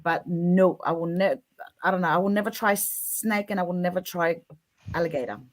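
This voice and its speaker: A woman's voice: on the low side, moderate pace. A middle-aged woman from Australia with an Australian accent, a talk show guest who speaks in a sympathetic, emphatic way. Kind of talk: casual chat.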